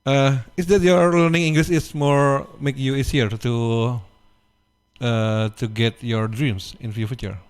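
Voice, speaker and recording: A man hosting a radio interview in halting English. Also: mid-range voice, steady tone, clean tone. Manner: halting, broken phrasing. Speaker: radio host.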